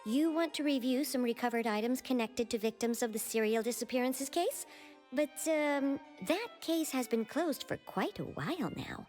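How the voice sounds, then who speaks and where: Polite lively woman clerk. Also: sits high, moderate pace. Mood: obliging. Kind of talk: video game voice acting. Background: game music.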